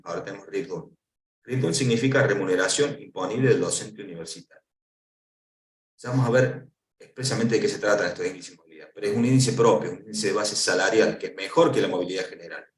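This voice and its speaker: A man with an Argentine Spanish accent giving a conference lecture, explaining step by step and posing questions to answer himself. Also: on the low side. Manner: didactic, asks and answers own questions. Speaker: lecturer on pension law. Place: lecture hall. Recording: echoey hall, voice from far off.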